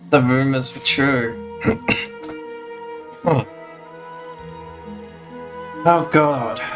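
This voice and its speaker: Game streamer, unhurried pace, casual commentary, musing aloud, hesitant, game music in background. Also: mid-range voice. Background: video game music.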